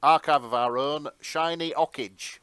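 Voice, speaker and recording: A man co-hosting a podcast, bantering casually about fan fiction in an excitable, sweary way. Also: on the high side, unhurried, clean tone, dry recording. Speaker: podcast co-host.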